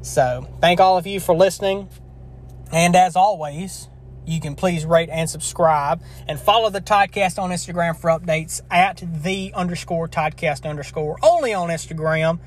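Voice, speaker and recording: A man's voice: on the high side, moderate pace. Young man hosting a podcast, throat scratchy. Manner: rambling, earnest, with frequent restarts. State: scratchy throat from long talking. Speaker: podcast host. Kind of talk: casual solo podcast wrap-up.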